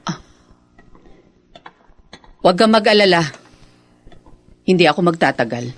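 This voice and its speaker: A man's voice: high voice, animated, measured pace. A male actor with a Filipino accent playing a placating, resigned, unwelcome visitor in a radio drama. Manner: placating, soft-spoken pleading. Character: apologetic, resigned visitor waiting to talk, unwelcome.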